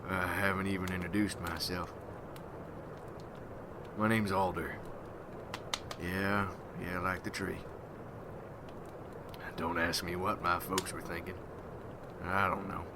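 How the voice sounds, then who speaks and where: A man playing a gruff, hardened vampire hunter in an ASMR roleplay, speaking in folksy rustic slang as he mentors a newcomer. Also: low-pitched, little pitch movement, takes it slowly, pauses often, background noise. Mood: friendly.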